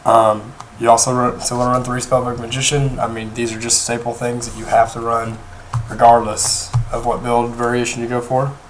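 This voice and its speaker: A young man with a hoarse voice, talking casually through a card game deck, rambling with many pauses and restarts. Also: mid-range voice, completely flat delivery, a little hiss or hum, poor audio. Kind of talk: casual deck profile talk. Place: indoors at a table, off camera.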